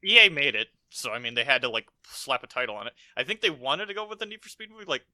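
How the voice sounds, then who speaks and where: A man's voice: mid-range voice, big pitch swings, quick pace, sharp tone, no room sound. An American man co-hosting a podcast, chatting casually about a film in a rambling, profane storytelling way. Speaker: podcast co-host. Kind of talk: casual film review chat.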